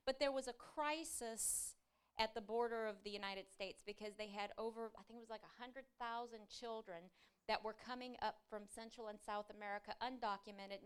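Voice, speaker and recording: A woman missionary giving an earnest church presentation, halting often with fillers. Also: on the high side, smooth.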